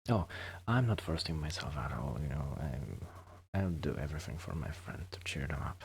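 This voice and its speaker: A man with a very low voice talks softly and intimately in non-native English, as if keeping a friend company in an ASMR role-play. He is hesitant and modest, often sinking toward a whisper. There is clear noise in the recording. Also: lively intonation, normal speed. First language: not English, a second-language speaker. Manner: soft-spoken, often dropping into whispers, hesitant. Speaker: ASMR performer playing a musician friend.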